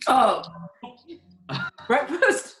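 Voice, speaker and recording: A woman's voice: high voice, big pitch swings, extremely slow, fairly bright tone, slight background noise, heavily acted. Woman playing a deferential butler, roomy and swooping. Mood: formal, servile. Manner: formal, hesitant, servile. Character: male butler Barrymore, shifty, deferential, deadpan. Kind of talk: comic amateur radio play reading. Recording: roomy internet call recording.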